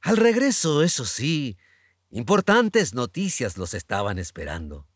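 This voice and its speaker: A man's voice: medium pitch, wildly swooping pitch, measured pace, dry recording, clean studio sound. A man narrating a family radio play as a storyteller.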